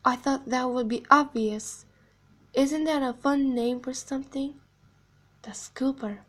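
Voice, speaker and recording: An eerie animatronic clown girl from a video game, played by a woman, speaks in a high, girlish pitch. Her delivery is deliberate and hushed, sweet on the surface with a sinister undertone, like a secret being told slowly. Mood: hushed, menacing. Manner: slow, deliberate, hushed storytelling, dropping into whispers. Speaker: amateur voice actor. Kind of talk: video game character monologue.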